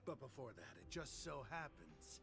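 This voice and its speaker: A man's voice: medium pitch, sing-song, normal speed, creaky, over music. An actor voicing a cocky, gossipy male gang member in a video game, with a knowing, teasing manner.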